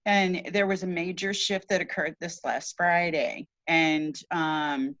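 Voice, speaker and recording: A woman in casual spiritual conversation, speaking earnestly and haltingly with emphatic repeats as a show host. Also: lowish voice, fairly even intonation, a bit bright, dry recording. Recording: dull, lo-fi audio.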